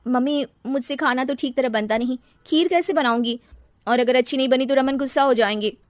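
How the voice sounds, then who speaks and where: A woman's voice: high voice, a little fast, no echo, clean studio sound, close to an everyday voice. A woman voicing an earnest, plaintive young woman of the household in a cartoon family drama. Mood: meek.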